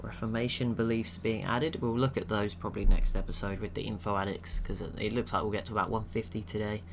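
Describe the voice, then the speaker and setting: Man, young adult, low voice, chatty gaming voice-over, faint background hum. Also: quick pace, vocal fry. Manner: relaxed, chatty, laughs to himself. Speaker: gaming channel host. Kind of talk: casual commentary over an AI-only strategy game.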